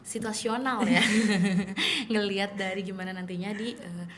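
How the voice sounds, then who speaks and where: A woman's voice: medium pitch, fairly even intonation, background noise. A young woman, a student host who sums up her guest's points and giggles often. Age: young adult. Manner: fast, agreeable, summarizing, giggly. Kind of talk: casual interview.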